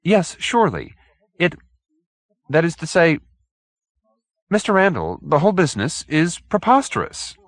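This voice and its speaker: A timid little gentleman, acted out by a male narrator in an audiobook story. He is fussy, hesitant and over-polite, speaking far up in pitch with nervous, swooping intonation. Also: wildly swooping pitch, unhurried, long pauses, no echo, exaggerated voice.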